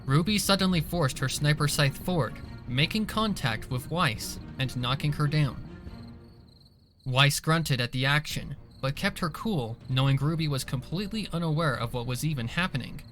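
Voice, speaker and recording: A man's voice: fairly high pitch, moderate pace. A man reading scripted dramatic narration for a fan fiction story. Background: soft music behind the voice.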